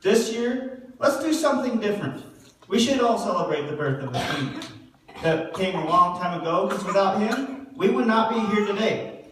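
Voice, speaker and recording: A man performs a talk-show doctor puppet with a high-pitched voice. He moderates and addresses the crowd like a presenter. The recording is distant, in a heavily echoing hall. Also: animated, moderate pace, a bit bright. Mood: upbeat, sincere. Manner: presenterly, addresses audience and guests. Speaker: host. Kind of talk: church puppet show acting as chatty host. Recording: very echoey hall, distant mic, faint noise.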